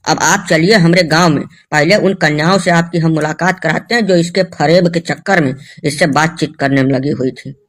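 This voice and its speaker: A man's voice: on the high side, normal speed, a bit bright, close to an everyday voice. A man playing an agitated, stubborn villager in a comedy cartoon, ranting emphatically with a rustic North Indian Hindi accent. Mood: defiant. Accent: North Indian rural Hindi.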